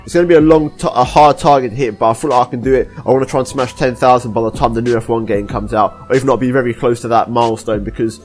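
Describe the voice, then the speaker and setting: A young Englishman, hoarse and husky from a recent cold, rattling off a channel update at a quick clip. His voice sits at a middling pitch, and he rambles and restarts as he apologizes to his viewers. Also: faint music behind. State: hoarse, deep voice after a cold. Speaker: gaming YouTuber. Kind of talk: casual vlog update to viewers.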